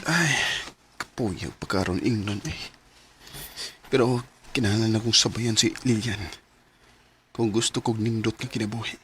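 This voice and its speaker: A man's voice: lowish voice, normal speed, long pauses, natural voice. A man playing a lovestruck young man in a radio drama, hesitant and stammering as he hides his feelings. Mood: brooding. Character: lovestruck young man hiding feelings for best friend's girlfriend. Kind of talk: radio drama acting in Cebuano. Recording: clearly noisy radio drama recording.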